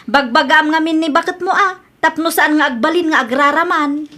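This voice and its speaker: A woman plays a nosy older sister in an Ilocano drama. Her high voice carries an Ilocano accent as she frets and disapproves over family matters. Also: moderate pace, bright, forceful tone. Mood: disapproving, worried. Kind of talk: Ilocano audio drama dialogue.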